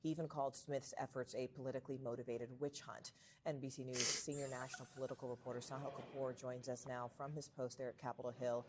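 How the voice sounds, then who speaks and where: A woman anchoring a television news segment with a measured, polished delivery. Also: very deep voice, little pitch movement, background noise.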